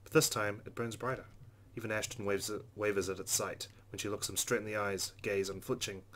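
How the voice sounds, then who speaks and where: A man's voice: lowish voice, little pitch movement, fairly quick, a bit bright, a little hiss or hum. A man hosting a gameplay video, reading visual novel narration expressively and adding casual asides. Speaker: let's play host reading a visual novel aloud.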